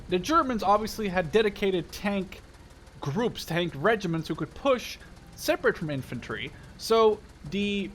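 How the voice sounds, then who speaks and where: A man's voice: sits high, a bit bright, a little hiss or hum. A man in his twenties from the Netherlands giving casual, rambling gameplay commentary with digressive storytelling. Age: 20s. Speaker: gaming video host.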